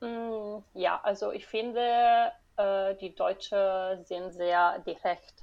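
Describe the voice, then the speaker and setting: A woman's voice: mid-range voice, level tone, slow, darkish tone, dull audio. A woman in her late twenties from Italy, speaking with an Italian accent as an interview guest, hesitant with many fillers and frequent laughs. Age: late 20s. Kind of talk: casual interview chat.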